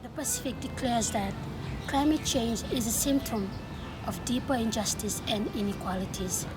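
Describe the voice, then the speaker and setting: A woman's voice: a little high, normal speed, poor audio. A young woman from the Solomon Islands, a climate activist, making firm declarative statements. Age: young adult. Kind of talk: recorded statement over music. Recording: loud music underneath.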